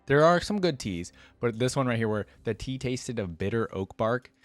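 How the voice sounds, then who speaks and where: A man hosting a reaction video, reading story text aloud and commenting in an informal, thinking-out-loud way. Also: medium pitch, lively intonation, moderate pace, no room sound, faint music behind.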